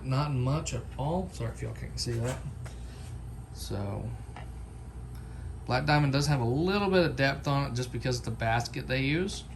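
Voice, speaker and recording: A man with a mid-pitched voice reviews products in a casual vlog style. He talks in a folksy, unscripted way. There is light noise behind him in the amateur recording. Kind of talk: casual unboxing and comparison vlog.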